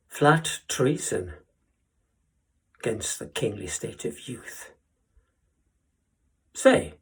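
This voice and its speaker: Husky, slow-paced man performing a Shakespearean young lord. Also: mid-range voice, heavily acted. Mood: challenging, rousing. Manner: declamatory, deliberate pauses, swelling and hushed emphasis. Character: witty, impassioned young lord pleading love's cause. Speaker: Shakespearean actor performing a monologue. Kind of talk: theatrical classical verse monologue.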